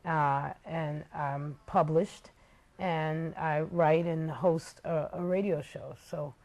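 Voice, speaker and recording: A woman from the Bronx, calmly explaining her work and education as a talk show guest, pausing with the odd filler. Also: deep voice. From the Bronx, New York. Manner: measured, explanatory, some uhs. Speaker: guest, nonprofit founder. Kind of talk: interview answers.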